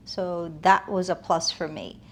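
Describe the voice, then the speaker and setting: A woman's voice: lowish voice, fairly even intonation, a little slow, creaky. A woman giving reflective, earnest interview answers in a conversational way, often building emphasis with short listed phrases. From United States, moved from Afghanistan as a toddler. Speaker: interview guest, realtor.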